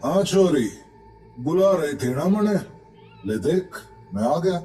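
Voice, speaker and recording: A brash, taunting village man, acted by a man in a horror audio drama. He drawls very slowly in a rustic Haryanvi-Rajasthani accent, sardonic and unafraid, over a clear music bed. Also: on the high side, animated, dark tone, recorded from a distance. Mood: taunting, mocking. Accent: Haryanvi-Rajasthani rural Hindi.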